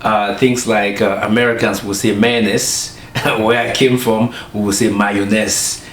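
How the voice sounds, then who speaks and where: A man from Cameroon with a Cameroonian accent, a pastor speaking in a documentary interview, measured and pausing with fillers. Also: lowish voice, flat delivery, a bit bright. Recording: roomy with faint ambient noise.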